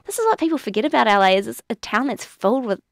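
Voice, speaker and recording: Casual New actress guest, quick to laugh, chatty, close dry mic. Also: female voice, fairly high pitch, big pitch swings, dry recording. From New Zealand. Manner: laughs often, chatty with many "like" fillers. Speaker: guest actor. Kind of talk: casual chat.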